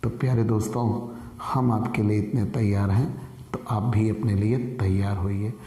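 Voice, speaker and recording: A man with a sore, hoarse throat, teaching an online commerce lecture in an earnest manner and calling viewers dear friends. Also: fairly low pitch, steady tone, moderate pace, dark tone, echoey room, in a large hall. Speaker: commerce tutor.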